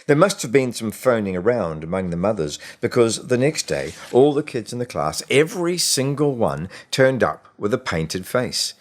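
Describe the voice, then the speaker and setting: A man reading a short story as scripted audiobook narration, in a measured, expressive way with deliberate pauses. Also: mid-range voice.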